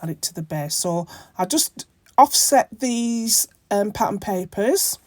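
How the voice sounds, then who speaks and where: A woman with a croaky voice from a bad cold, hosting her own crafting channel and talking through a project in a rambling way, with many ums and self-corrections. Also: medium pitch, lively intonation, a little slow, darkish tone, no room sound, clean studio sound. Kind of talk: casual crafting tutorial narration.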